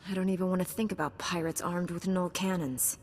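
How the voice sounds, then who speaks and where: An actress playing a confident, calm Jedi knight in a video game, with a dry, assured delivery. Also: female voice, on the low side, brisk, long reverb tail. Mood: resolute. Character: composed, confident heroic female Jedi knight.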